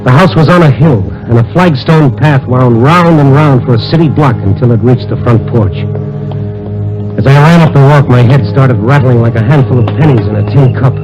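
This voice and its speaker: An American actor playing a wry, world-weary private detective who narrates in a hardboiled 1940s radio drama style. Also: male voice, medium pitch, moderate pace, dull audio, lightly acted. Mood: tense narration. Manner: wisecracking, hardboiled. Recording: old radio recording with music.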